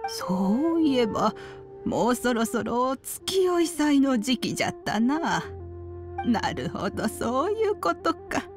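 A woman's voice: sits high, sing-song, slow pace, full character voice. A woman voicing a kindly, wise old granny in a Japanese game, with archaic elderly speech. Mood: thoughtful, nostalgic. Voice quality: elderly-sounding. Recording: music under the voice.

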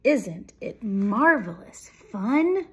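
Woman putting on a haughty, excitable city mouse. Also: mid-range voice, slow pace, breathy edge, exaggerated voice. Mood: breezy. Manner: grand, boastful, shrieking when alarmed. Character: haughty, fun-loving female city mouse, bossy and excitable. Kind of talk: children's storybook read-aloud.

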